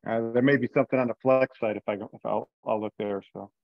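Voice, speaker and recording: A man with a mid-pitched voice talks informally through plans on a group call. The sound is close, dry and slightly dull, and his sentences tend to trail off. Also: steady tone, fast, gravelly, no echo. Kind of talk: casual meeting talk. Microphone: online conference call. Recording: dry, dull band-limited call audio.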